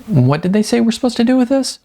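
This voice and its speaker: A man's voice: very high voice, big pitch swings, fast talker, creaky, warm tone, pitched above the performer's own voice, exaggerated voice. A man voicing a dramatic, playful young woman in an audiobook, with exaggerated, informal delivery.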